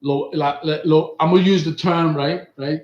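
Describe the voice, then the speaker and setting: A man's voice: fairly high pitch, fairly even intonation, normal speed, muffled recording. Emphatic middle-aged man teaching, echoey room. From Puerto Rico. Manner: emphatic, repeats points and asks "right?" often. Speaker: teacher leading a class. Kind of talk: bilingual religious class talk. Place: indoor studio set with printed backdrop.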